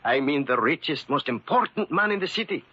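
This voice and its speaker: An actor playing an excitable, hard-working immigrant shoemaker in a 1940s radio drama. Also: male voice, fairly high pitch, a little fast, close to an everyday voice. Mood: proud, insistent. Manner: agitated, theatrical, emphatic. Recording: muffled, noisy 1940s radio recording.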